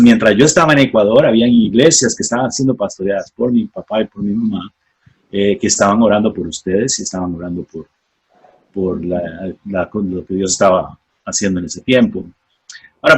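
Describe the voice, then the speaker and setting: A man in his forties from Costa Rica with a Costa Rican accent, giving a reflective, unhurried testimony as a guest on a radio interview, with many pauses and fillers. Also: lowish voice, breathy edge, low-quality recording. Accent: Costa Rican Spanish. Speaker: guest, former missionary.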